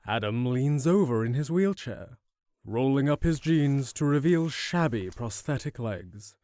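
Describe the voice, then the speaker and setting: A man narrating a scripted fantasy audio drama in a measured, dramatic storyteller manner. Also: mid-range voice, lively intonation, mellow, dry recording. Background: music.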